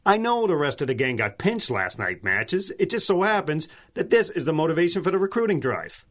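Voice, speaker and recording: A man's voice: a little high, very animated, a little fast. An actor playing a dim, servile gangster henchman in a 1930s-style radio serial, slangy and obsequious. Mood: eager coaxing. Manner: stammering, obsequious, streetwise slangy grammar.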